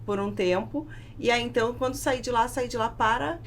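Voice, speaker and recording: A woman with a Brazilian accent telling a personal story as an interview guest, in an emphatic and deliberate way. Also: on the high side. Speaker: podcast guest, food business founder. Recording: clear background noise, room ambience.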